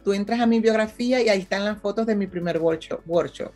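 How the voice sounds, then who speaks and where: A woman in her fifties from Venezuela with a strong Venezuelan accent, talking as an interview guest in an animated, conversational way and often checking in with her listener. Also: mid-range voice, fast, faint music behind. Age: 50s.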